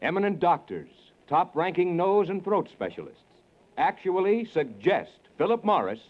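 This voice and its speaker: A man's voice: high voice, very animated, normal speed. A man announcing a radio program and its advertising in a polished, emphatic 1940s style. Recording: dull 1940s radio recording.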